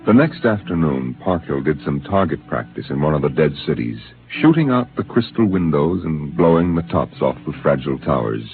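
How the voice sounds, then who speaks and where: A man narrating a radio drama in a measured, deliberate storytelling manner. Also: a bass voice, very animated, full character voice. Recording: tinny 1950s radio transcription with music.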